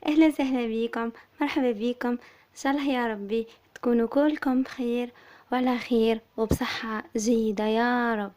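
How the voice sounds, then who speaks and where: A woman with an Algerian Arabic accent who is slightly hoarse from illness, calmly explaining a recipe as a cooking-channel host. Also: on the high side, a little fast. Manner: soft, subdued, steady explaining. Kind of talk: recipe tutorial voice-over.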